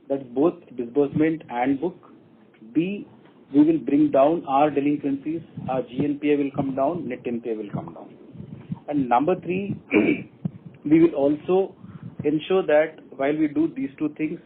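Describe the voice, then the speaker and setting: A man with an Indian accent, a company executive answering questions on an earnings call in a deliberate way, laying out his points one by one. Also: mid-range voice. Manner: deliberate, repeats and enumerates points. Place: telephone conference call. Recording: muffled phone line with faint noise.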